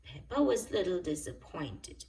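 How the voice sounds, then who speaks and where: A woman's voice: fairly low pitch, sing-song, a little slow, warm tone, recorded from a distance, slight background noise. A woman reading a children's story aloud expressively, speaking directly to young listeners. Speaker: storybook reader.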